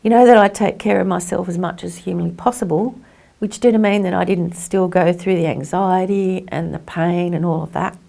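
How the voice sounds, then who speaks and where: A woman's voice: lowish voice, fairly even intonation, moderate pace, gravelly, dark tone. A woman giving reflective personal testimony as a carer, speaking earnestly and with emphasis. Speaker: carer sharing personal experience.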